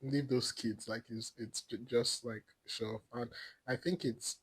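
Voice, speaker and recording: A young Nigerian man with an Igbo accent chats as a podcast guest, his mid-pitched voice a little husky. He rambles through his stories and breaks into laughter often. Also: fairly even intonation, unhurried, low-quality recording. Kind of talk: casual chat.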